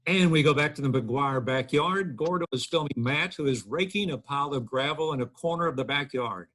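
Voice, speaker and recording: A man's voice: on the high side, a little fast, a bit bright. A man reading out stage directions as scripted narration at a table read over video call.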